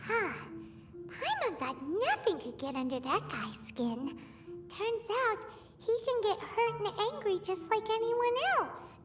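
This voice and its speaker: Squeaky, excitable little fairy sidekick. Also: female voice, a little slow, full character voice. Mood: musing. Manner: speaks of self in third person, exclaims. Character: tiny excitable childlike fairy companion, chatty and curious. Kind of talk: video game voice acting. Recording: music behind the voice.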